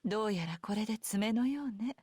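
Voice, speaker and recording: A woman's voice: mid-range voice, lively intonation, racing, darkish tone, breathy edge, dry recording. A woman playing a haughty, cunning sorceress with an elegant, disdainful, theatrical delivery in a Japanese visual novel. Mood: smug. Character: haughty, cunning female sorceress, coolly condescending.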